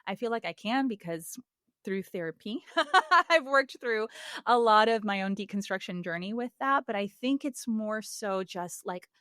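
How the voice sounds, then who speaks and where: A woman's voice: mid-range voice, expressive, measured pace, pushed and bright, dry recording, clean studio sound. A woman in her forties from Puerto Rico, a singer and voice coach, speaking candidly and reflectively in a podcast interview, with frequent fillers and laughs. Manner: earnest, reflective, laughs often, many fillers.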